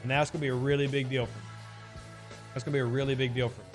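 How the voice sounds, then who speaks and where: A man's voice: medium pitch, flat delivery, racing, soft background music. A man streaming live and answering chat questions in a casual, rambling way, often hedging with "I mean" and repeating his points.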